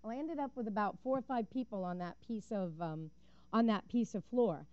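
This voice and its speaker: A woman's voice: mid-range voice, normal speed, clear, resonant tone, no room sound, dull audio. An older woman giving a prepared public comment at a council meeting, an earnest and vivid storyteller with a few fillers. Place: city council chamber. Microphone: podium microphone.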